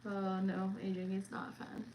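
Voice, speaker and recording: A woman's voice: medium pitch, monotone, measured pace, crowd noise. A woman in her thirties co-hosting a cruise livestream, chatting casually with her friend and teasing her with frequent laughter. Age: 30s.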